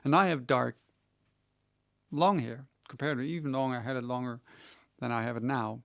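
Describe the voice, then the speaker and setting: Gravelly-voiced man chatting casually. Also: medium pitch, fairly quick. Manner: rambling, many restarts, animated retelling. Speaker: audiobook reader answering questions. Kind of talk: casual monologue.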